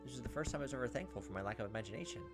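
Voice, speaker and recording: Fast-talking man narrating a visual novel. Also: medium pitch, animated. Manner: dramatic narration with joking exasperation. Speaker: gaming video host. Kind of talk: reading visual novel text aloud with asides. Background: game music and cricket sounds.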